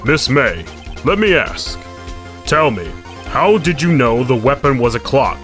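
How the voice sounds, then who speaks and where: A man's voice: mid-range voice, very animated, a little slow, fairly bright tone, faint music behind. A man voicing a stern but fussy older male judge, formal and authoritative. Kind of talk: fan dub of game dialogue.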